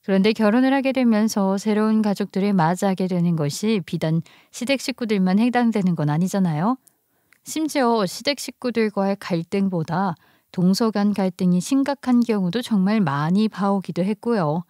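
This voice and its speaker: Female story narrator, medium pitch, dry studio-like sound, scripted first-person delivery. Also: normal speed, warm tone, no echo.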